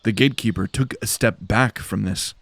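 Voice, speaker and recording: A man's voice: on the low side, normal speed, a bit bright, no echo. A man giving dramatic scripted narration for a fantasy audio drama.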